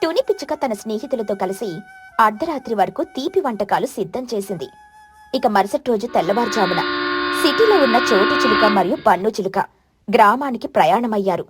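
A woman narrating a children's cartoon story in a gentle storybook manner. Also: a little high, expressive, brisk. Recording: faint music underneath.

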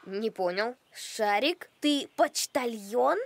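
Gentle, high-voiced cartoon boy. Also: lively intonation, slow pace. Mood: puzzled, concerned. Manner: gentle, peacemaking. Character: calm, kind, sensible young boy. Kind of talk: animated cartoon acting.

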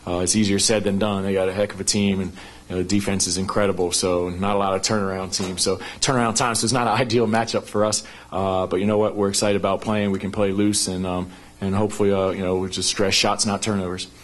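A middle-aged man, a basketball head coach, answering reporters at a post-game press conference through a table microphone, talking fast with many "you know" fillers and restarts. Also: deep voice, level tone. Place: press conference room at a sports arena. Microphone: table microphone on a stand.

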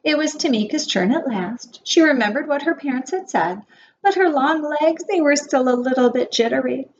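A woman in her forties reading a picture book aloud to preschoolers as their teacher, expressively and with measured emphasis on key words. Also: sits high, animated. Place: small wood-panelled room indoors. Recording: dull webcam audio with faint noise.

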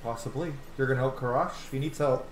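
A man's voice: medium pitch, a little fast. A man in his thirties giving casual let's play commentary in a small room. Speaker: game streamer. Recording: roomy, noisy, game sound behind.

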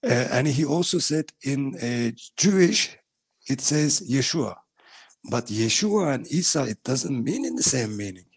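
A man's voice: medium pitch, big pitch swings, measured pace, slightly rough. A man with a Turkish accent, talking earnestly in broken English on a video call from a small bathroom at home. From Turkey. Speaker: guest giving testimony. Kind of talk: casual video call chat.

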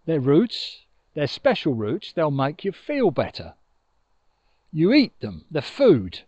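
Dependable old-friend Badger for a storybook male performer: plain-spoken, doubting, halting, animated pitch. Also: breathy edge, no echo, dull audio, full character voice. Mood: practical, patient.

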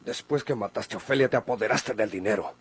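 An actor with a Mexican accent playing a stern, corrupt lawyer in a vintage radio drama, commanding and accusing. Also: male voice, lowish voice, quick pace, a little hiss or hum, close to an everyday voice. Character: stern, corrupt lawyer squeezing a prisoner for money.

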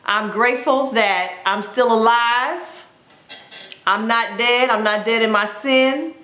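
Woman, forties, vlogger, high-ish voice, bright tone, playful, echoey home room. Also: slow, a little hiss or hum. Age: 40s. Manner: upbeat, playful, exclaiming. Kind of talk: casual chat to camera. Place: indoors at home, plain wall. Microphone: camera in front of her.

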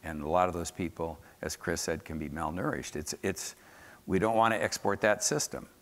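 A man's voice: low-pitched, moderate pace, fairly bright tone. An older man with an American accent giving an informal, emphatic conference lecture as a scientist explaining to an audience. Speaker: keynote speaker, soil scientist. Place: large dark conference hall, on stage at podium. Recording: echoey hall, amplified stage speech.

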